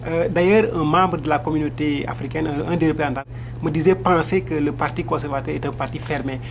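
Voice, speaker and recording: A man's voice: on the high side, fairly even intonation, fairly quick, gravelly, noisy background. A man interviewing a candidate, asking questions in a hesitant way and searching for words.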